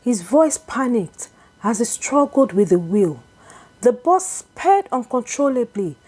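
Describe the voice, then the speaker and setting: Female folktale narrator: high register, animated intonation, unhurried dramatic read. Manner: slow, deliberate, expressive storytelling. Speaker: storyteller, narrator.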